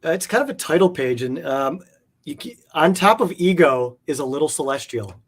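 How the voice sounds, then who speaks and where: A man's voice: on the high side, expressive, moderate pace, slightly rough. A man talking casually as an interview guest, rambling enthusiastically through details of things he collects. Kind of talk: casual chat.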